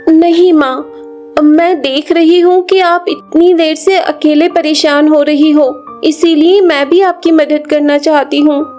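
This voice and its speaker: A woman's voice: high voice, steady tone, slow pace, darkish tone, faint music behind. A woman voicing a stubborn, loving young daughter with a childlike, pleading way of talking in a children's story. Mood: earnest, caring.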